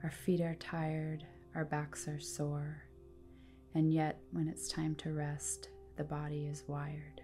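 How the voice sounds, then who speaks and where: A woman with a low, mellow voice leads a guided rest practice. She speaks slowly and deliberately, leaving room between phrases. Her delivery is calm and soothing, like scripted meditation narration. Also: faint music behind. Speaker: yoga nidra guide.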